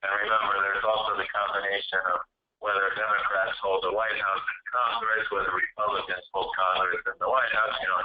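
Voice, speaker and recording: A middle-aged man giving a semi-formal financial presentation on a conference call, explaining haltingly and often rephrasing as he goes. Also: fairly low pitch, level tone, slight background noise. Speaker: investment chair presenting. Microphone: conference call line. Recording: dull, lo-fi phone conference audio.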